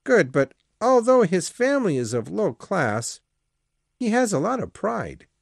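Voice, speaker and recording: A man voicing a cautious older priest in an audiobook, earnest and raised in pitch. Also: big pitch swings, a little slow, creaky, no echo, clean studio sound, exaggerated voice.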